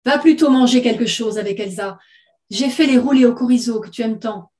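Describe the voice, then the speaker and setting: A woman's voice: fairly high pitch, a little fast, a little mellow, lightly acted. A woman voicing an angry young mother in a storybook, with clipped, biting retorts. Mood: gentle urging.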